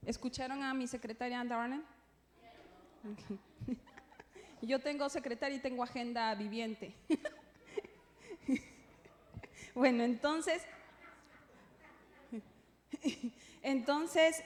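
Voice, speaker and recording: High-voiced Peruvian woman teaching in a hall. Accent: Peruvian Spanish. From Peru. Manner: conversational, playful asides, frequent checking tags. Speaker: church teacher leading a class for servers. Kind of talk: informal religious teaching. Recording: echoey hall with long reverb.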